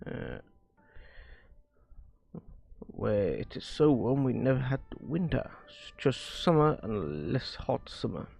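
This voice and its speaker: A man with a gravelly, fry-heavy voice reads visual novel dialogue aloud on a stream. He takes it slowly and haltingly, stumbling and rereading lines. Faint music plays behind him. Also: mid-range voice, warm tone.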